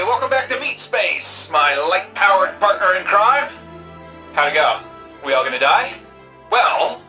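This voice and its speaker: An actor playing a cocky, upbeat young futuristic city guardian in a video game, breezy, slangy and offhand. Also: male voice, fairly high pitch, pushed and bright, heavily acted. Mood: playful.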